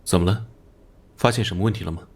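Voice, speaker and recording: An actor playing an earnest young police investigator in an animated crime drama, asking questions in a direct, plain-spoken way. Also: male voice, low-pitched, quick pace, slightly rough. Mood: puzzled, curious.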